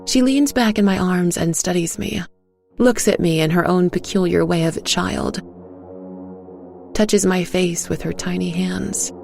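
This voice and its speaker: A woman narrating a dark fairy tale in the first person, quiet and tired, with music under her voice. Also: fairly low pitch, a little slow, gravelly.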